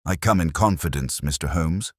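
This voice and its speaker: A man voicing a grey-haired, cautious senior government official in an audiobook, measured, careful and formal. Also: a bass voice, lively intonation, dry recording, studio quality. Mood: anxious, guarded.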